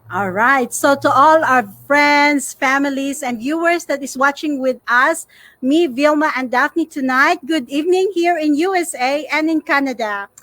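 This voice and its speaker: High-pitched Filipino woman hosting a livestream. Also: unhurried, a bit bright, dry recording. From Philippines. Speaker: co-host of a livestream. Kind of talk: casual livestream presenting.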